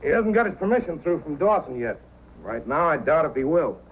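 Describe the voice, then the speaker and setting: Scheming rival racer, muffled radio. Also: male voice, on the high side, very animated, fairly quick, a little hiss or hum. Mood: wary. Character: scheming male rival sled-dog racer. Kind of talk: old-time radio acting. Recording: dull old radio recording.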